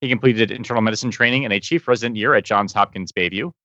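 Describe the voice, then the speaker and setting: A man co-hosting a podcast, reading a guest's introduction in a brisk, upbeat way. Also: fairly low pitch, pushed and bright, no room sound. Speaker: podcast co-host. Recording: slightly dull audio.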